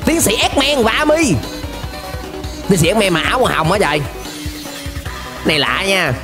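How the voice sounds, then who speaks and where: A young man with a southern Vietnamese accent giving excited, playful, exclamatory running commentary as a reaction video host. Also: high voice, moderate pace. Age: young adult. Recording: music behind the voice.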